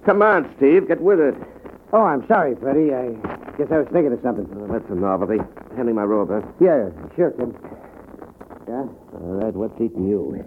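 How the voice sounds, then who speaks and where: An actor playing a worried boxing manager in a 1950s radio drama, fretful and pleading. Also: male voice, medium pitch, lively intonation, normal speed, lightly acted. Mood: anxious. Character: anxious worn boxing manager. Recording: tinny old radio broadcast with faint hiss.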